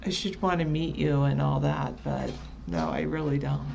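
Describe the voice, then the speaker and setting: A woman co-hosting a casual live chat show, relaxed and chatty, answering viewers by their usernames. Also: low-pitched, normal speed, vocal fry, highs cut off, a little hiss or hum.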